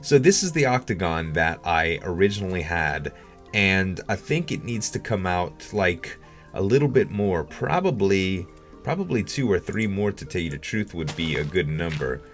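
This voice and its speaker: A man's voice: sits low, very animated, normal speed, faint music behind. A man giving casual gaming commentary as a video host, rambling and thinking aloud.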